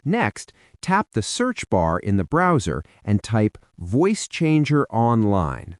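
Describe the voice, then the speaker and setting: Guy reading a how-to tutorial, pitch swings all over, really dry close sound. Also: dry recording. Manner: instructional, step-by-step with informal asides. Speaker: tutorial narrator. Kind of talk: scripted how-to narration.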